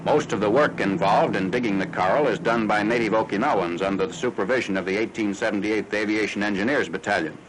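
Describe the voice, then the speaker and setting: An American man reading scripted 1940s military newsreel narration in a formal, factual, measured announcer style. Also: on the low side. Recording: noisy 1940s newsreel film soundtrack.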